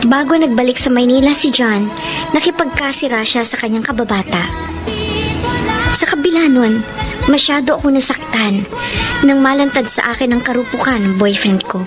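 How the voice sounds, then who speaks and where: A woman's voice: high voice, normal speed, lightly acted. A woman playing a hurt, betrayed young girlfriend in a Filipino radio drama, with an emotional, melodramatic delivery. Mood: sorrowful narrating. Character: hurt, betrayed young girlfriend telling her love story. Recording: music bed under the voice.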